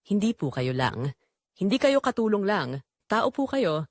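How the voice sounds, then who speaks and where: Woman voicing a polite, soft-spoken young guard. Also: medium pitch, big pitch swings, unhurried, dry recording, clean studio sound. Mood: gentle, reassuring. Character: gentle, concerned young male gate guard, respectful.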